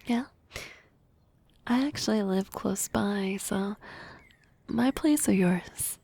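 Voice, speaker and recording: Woman playing shy but bold harpy classmate, asmr romance, soft whispery voice w some creak, halting n teasing, super close dry sound. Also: mid-range voice, no echo, exaggerated voice. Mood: tender, teasing. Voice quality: soft, breathy, often whispering.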